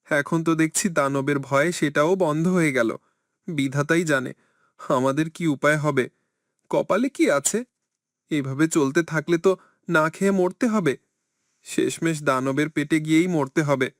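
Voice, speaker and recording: A man's voice: fairly high pitch, unhurried, no room sound, lightly acted. Man acting a fretful village father, dry sound. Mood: despairing. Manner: lamenting, scolding. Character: fearful, poor village fisherman father, fatalistic and stern.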